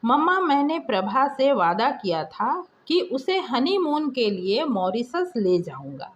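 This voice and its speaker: A woman's voice: high-pitched, very animated, slow pace, highs cut off, exaggerated voice. A woman voicing a self-centred young married son in a story, demanding and wheedling with his parent.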